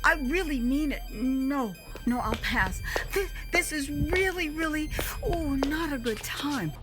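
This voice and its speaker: A woman playing a polite but reluctant young woman in her twenties, flustered and halting in an audio drama. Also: high-pitched, animated, over loud music, pitched above the performer's own voice. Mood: pleading, uncomfortable. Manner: hesitant, halting.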